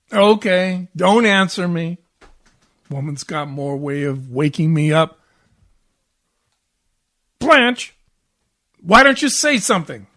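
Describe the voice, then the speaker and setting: Man acting slow, whiny radio husband. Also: sits high, sharp tone, full character voice. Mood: groggy, grumbling. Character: sleepy, self-pitying, bourbon-loving grouchy husband. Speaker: community theatre actor. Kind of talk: 1940s radio comedy acting.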